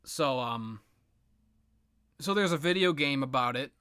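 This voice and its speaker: A man giving casual, rambling, opinionated video game commentary with sarcastic, profane ranting and a halting, repetitive delivery. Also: medium pitch, expressive, sharp tone, clean tone, no room sound, clean studio sound. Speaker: video game reviewer and host.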